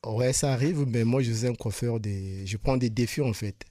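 A man from Congo-Brazzaville, a radio guest telling his story sincerely, with frequent pauses and repeated words. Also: medium pitch, dark tone, dry recording. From Republic of the Congo. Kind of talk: earnest personal testimony. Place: radio studio.

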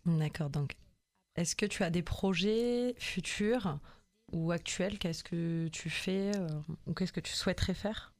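A woman interviewing a guest on the radio, asking gentle questions with small hesitations. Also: on the low side, a little slow, no echo. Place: radio studio.

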